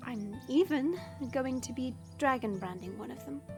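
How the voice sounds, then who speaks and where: A woman's voice: high voice, animated, moderate pace, gravelly, over music. A woman playing a proud young dragon princess, formal, commanding and resolute, in a fantasy audio drama. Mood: determined, solemn.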